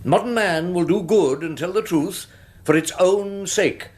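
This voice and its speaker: An actor playing a wry, combative older English defence barrister, cross-examining theatrically and sardonically in a courtroom drama. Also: male voice, high voice, unhurried, darkish tone. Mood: probing, sardonic. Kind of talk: courtroom radio drama acting.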